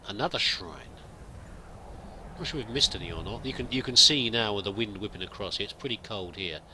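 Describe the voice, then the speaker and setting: A man's voice: on the low side, animated, fairly quick, background noise. A man thinking aloud while roleplaying a pilgrim in a video game, musing and hesitant with many restarts. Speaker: let's play gamer roleplaying a pilgrim. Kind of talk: in-character gameplay commentary.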